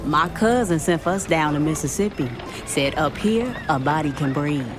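A woman's voice: deep voice, lively intonation, moderate pace. A woman playing a hopeful migrant in a radio drama, reminiscing with wonder. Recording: music and city street behind.

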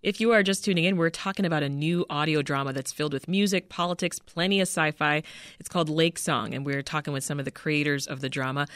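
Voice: medium pitch, fast, a bit bright, no echo, clean studio sound. A radio host conducting a conversational interview, asking guests questions.

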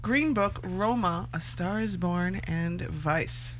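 A woman's voice: on the low side, slow pace, slight background noise. A woman hosting a casual radio talk from a studio, with informal, folksy phrasing. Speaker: radio host.

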